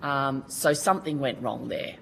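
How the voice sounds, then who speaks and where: A woman's voice: rumbling low, normal speed, vocal fry, a little hiss or hum, rough recording. An Australian woman giving earnest, colloquial testimony at a Senate committee hearing. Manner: earnest, colloquial, restarts often. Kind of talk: formal testimony.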